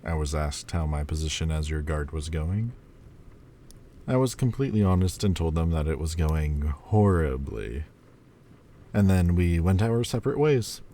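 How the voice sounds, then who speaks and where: A man voicing the male Lord of Demons in an audio roleplay, teasing and playful, turning halting and hesitant. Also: very deep voice, expressive, dark tone, slight background noise. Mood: wistful. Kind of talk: listener-directed audio roleplay.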